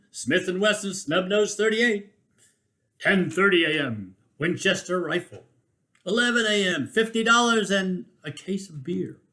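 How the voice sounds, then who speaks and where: A man with a slightly high-set voice reads a written personal chronicle aloud. His delivery is that of a storyteller making a case, emphatic and rhetorical. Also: bright, forceful tone. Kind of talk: dramatic reading of a first-person personal essay.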